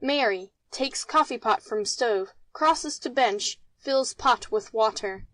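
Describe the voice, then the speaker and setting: A woman's voice: on the high side, takes it slowly, fairly bright tone, dry recording. A woman narrating, reading stage directions from a script.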